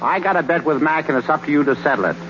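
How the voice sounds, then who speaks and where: A man plays a teasing ballplayer buddy in 1930s radio comedy. He talks in tough slang and keeps needling, his voice on the high side. The recording is dull with clear noise behind it. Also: very fast, natural voice. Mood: insistent. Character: teasing, slangy male ballplayer pal, pressing for answers.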